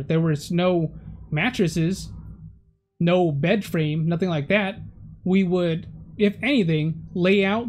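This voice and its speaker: A man's voice: high-pitched, a little mellow. A man in his thirties, Vietnamese his first language, telling stories about his childhood in an easygoing, chatty vlog style with small self-corrections. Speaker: vlogger. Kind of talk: personal vlog storytelling. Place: indoor room at home. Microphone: camera facing him, head and shoulders.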